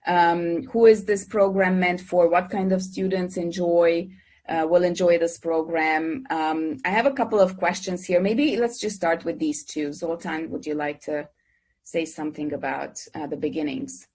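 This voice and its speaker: Woman hosting a webinar, low-ish husky voice, lots of ums and restarts, video call sound. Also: steady tone, moderate pace, a little mellow. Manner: hesitant, many fillers and restarts. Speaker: moderator, dean. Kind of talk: moderating an online webinar.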